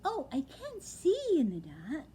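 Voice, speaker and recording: An eager baby barn owl boy, put on by a woman reading a storybook, squeaky and high with a lively, bouncing pitch. He talks in an earnest, slightly worried way, and faint background noise sits under the voice. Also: unhurried, heavily acted.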